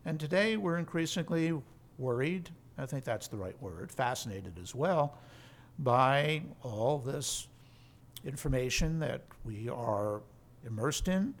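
A man of middle pitch, a law professor and guest on a podcast. He explains in measured, well-formed sentences. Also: animated. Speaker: law professor and center director. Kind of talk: podcast interview.

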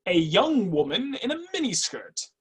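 A woman's voice: mid-range voice, normal speed, husky. A woman playing an argumentative, easily worked-up office worker in a play reading. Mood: observing. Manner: excitable.

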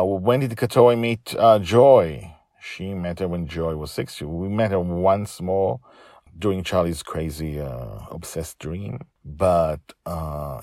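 A man's voice: low voice, creaky, a little mellow. A man hosting his own story podcast, narrating and talking informally in a dramatic, emphatic way.

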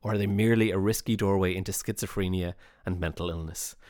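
A man's voice: sits low, fairly even intonation, fast, a little mellow, no echo, studio quality. A man hosting a podcast, articulate and playful in his introductions. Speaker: host.

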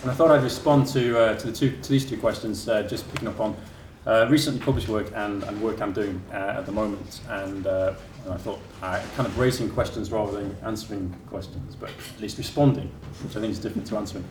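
A man gives a conference presentation in a lecture room, his lowish voice halting and full of fillers and restarts. He is picked up from a distance by the room mic, so the sound is roomy with a faint hiss underneath. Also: steady tone. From England. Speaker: academic speaker. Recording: echoey room from far off with faint noise.

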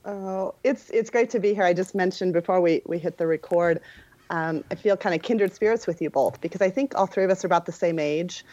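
Woman in her forties, podcast guest, lowish voice, earnest, halting, muffled lo-fi sound. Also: no echo. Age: 40s. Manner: warm, earnest, halting with many restarts. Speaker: guest, nutritionist and health coach. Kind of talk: casual podcast interview. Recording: dull lo-fi remote line.

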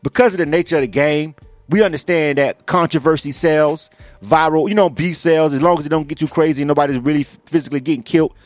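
A man hosting a radio interview, talking fast and animated with slang and frequent laughs. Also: fairly high pitch, dry recording, soft background music. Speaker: radio show host. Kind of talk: casual radio interview chat.

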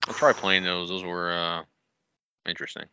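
A man's voice: sits low, level tone, moderate pace, vocal fry, bright, forceful tone, clear, resonant tone, dry recording, dull audio. A man co-hosting a gaming podcast with playful, sweary, casual banter. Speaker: podcast co-host. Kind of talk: casual joking gaming chat.

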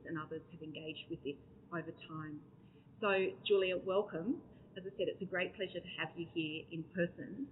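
A woman hosting a book event, formally introducing her guest in a gracious, measured way. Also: lowish voice. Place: event room in front of an audience. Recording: tinny phone-like sound with faint noise.

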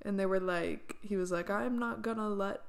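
Woman, creaky voice, mid pitch, matter-of-fact podcast co-host, casual review chat. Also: moderate pace.

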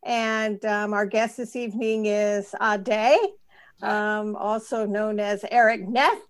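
A woman's voice: mid-range voice, fairly even intonation, takes it slowly. A woman hosting a radio interview, introducing her guest in a chatty, friendly way. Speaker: host.

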